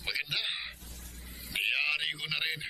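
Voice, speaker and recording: A man's voice: on the high side, a little slow, sharp tone, a little hiss or hum, exaggerated voice. A man voicing a brutal hitman who cackles and sneers, in a radio drama. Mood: gloating. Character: brutal male hitman, gleeful and cruel.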